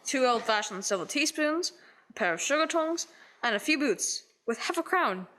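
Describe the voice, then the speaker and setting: Lady doing young victorian boy in a radio play, highish bright sharp voice. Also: lively intonation, moderate pace. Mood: brisk. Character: brisk young Victorian lad, Peter. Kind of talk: stage radio play acting.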